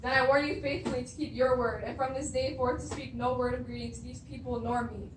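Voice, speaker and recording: A woman's voice: on the high side, little pitch movement, fairly quick, close to an everyday voice. A young woman acting a stern, defiant blind prophet of doom in stage readers' theatre, solemn and accusatory. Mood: ominous, accusing. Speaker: drama student. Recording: roomy, distant mic, clear noise.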